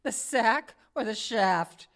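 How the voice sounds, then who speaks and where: A woman's voice: a little high, expressive, slow, vocal fry, a bit bright, full character voice. A woman acting a sarcastic, wisecracking career woman who has just been fired, in a comedic radio drama. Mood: bitter sarcasm.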